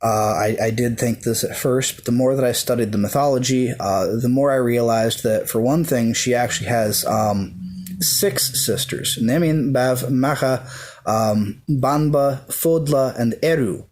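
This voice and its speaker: This is a man talking about his faith without a script. He speaks slowly, filling gaps with ums and uhs, and starts sentences over. His voice is soft, at a middle pitch. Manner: hesitant, many ums and uhs; slow and soft-spoken. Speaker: pagan devotee sharing his views. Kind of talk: unscripted talk to camera.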